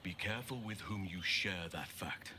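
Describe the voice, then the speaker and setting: An actor playing a grave, guarded companion in a video game, speaking in a measured, solemn way. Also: male voice, sits low, close to an everyday voice. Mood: grave warning. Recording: noticeable background noise.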